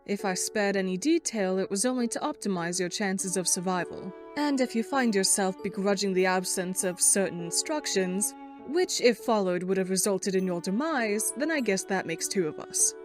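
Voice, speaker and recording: A wry, bookish girl played by a woman with a medium-pitched voice. She delivers a verbose fandub monologue, deadpan and articulate, with faint music behind her. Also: normal speed, hardly any pauses, close to an everyday voice. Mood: dry, sardonic. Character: dry, erudite, sarcastic girl writing a game walkthrough.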